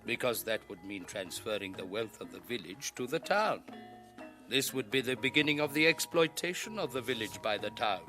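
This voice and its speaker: Radio drama role: unruffled village leader, mid-range voice, wry emphasis, very expressive melody. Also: male voice, medium pitch, normal speed, soft background music. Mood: teasing, firm. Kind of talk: 1980 radio drama acting.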